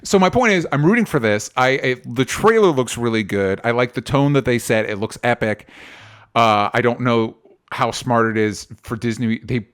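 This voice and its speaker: A man's voice: medium pitch, normal speed, fairly bright tone. A man hosting a casual pop culture discussion, opinionated and rambling, often circling back to correct himself and swearing casually. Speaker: podcast host.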